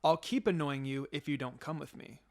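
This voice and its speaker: A man's voice: fairly high pitch, moderate pace, vocal fry. A man voicing a playful, teasing young woman in an anime fanfiction story. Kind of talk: dramatized fanfiction storybook reading. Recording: music behind the voice.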